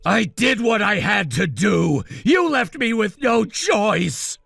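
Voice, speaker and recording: A woman voicing an angry, controlling groom who shouts and accuses. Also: medium pitch, sing-song, takes it slowly, vocal fry, slightly rough, dry recording, studio quality, full character voice. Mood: defensive.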